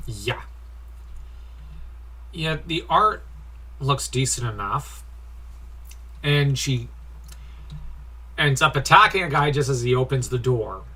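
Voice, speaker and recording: Male comic reviewer, unhurried and bright-toned; informal, nitpicky commentary, prone to sighs. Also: medium pitch, lively intonation, husky, poor audio. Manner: nitpicky, critical, sighing.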